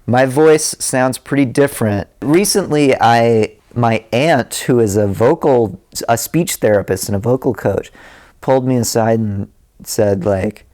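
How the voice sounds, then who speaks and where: A man's voice: mid-range voice, a little slow, darkish tone. A man guesting on a podcast as a musician, rambling and self-deprecating, laughing often. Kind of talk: casual interview chat.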